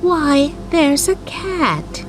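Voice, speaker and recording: A woman plays an impulsive, tender-hearted lady on holiday in a bedtime story. Her voice sits high, earnest and full of exclamation. She speaks over clearly audible music. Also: very animated, very slow, full character voice. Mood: surprised. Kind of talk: storybook character voice.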